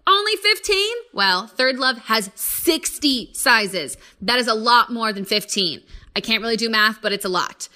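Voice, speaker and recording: A woman hosting a podcast in a playful, conversational way. Her voice is bright and fairly high. Her pitch moves around a great deal as she chats informally and slips in casual asides. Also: animated, unhurried. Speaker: podcast host. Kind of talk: casual chatty podcast talk and host-read ad.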